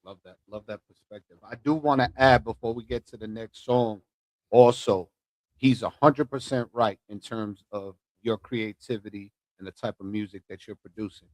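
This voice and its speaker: A man's voice: lowish voice, steady tone, moderate pace, no echo, rough recording. A man hosting a live music review show, affirming his co-host and introducing the next guest and song.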